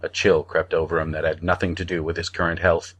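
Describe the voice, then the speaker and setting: A man narrating fiction from a script as an audiobook reader, in a measured storytelling manner. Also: deep voice, little pitch movement, fairly bright tone, husky.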